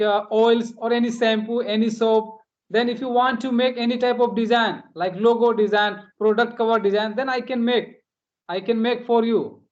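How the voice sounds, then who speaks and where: A man's voice: very high-pitched, lo-fi sound. A man from India chatting casually in as a practice conversation, hesitant and repeating phrases as he goes. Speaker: host.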